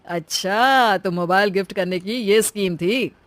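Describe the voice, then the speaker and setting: Woman doing a calm, teasing young husband. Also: mid-range voice, a little slow, no echo, lightly acted. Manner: reasoning, teasing. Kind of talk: animated story dialogue.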